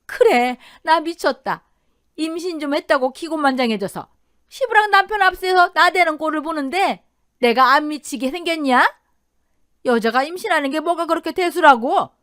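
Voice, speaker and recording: Woman as cruel older mother-in-law, audio drama, furious, sneering, accusing, high swooping voice. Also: expressive, moderate pace, fairly bright tone, no room sound, clean studio sound. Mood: furious, screaming.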